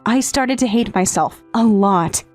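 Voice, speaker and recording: A woman's voice: mid-range voice, measured pace, a little mellow, natural voice. A woman playing a withdrawn, grieving teenage step-sister, narrating in an earnest, hesitant, confessional way in a manga dub. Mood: remorseful. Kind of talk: dramatic first-person narration in manga dub. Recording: background music under the voice.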